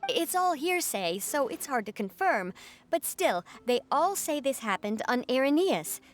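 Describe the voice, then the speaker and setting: A woman's voice: high voice, sing-song, a little slow, faint music behind. A woman playing a dramatic, superstitious fishing official who talks like an earnest, theatrical storyteller in a video game. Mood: earnest, insistent.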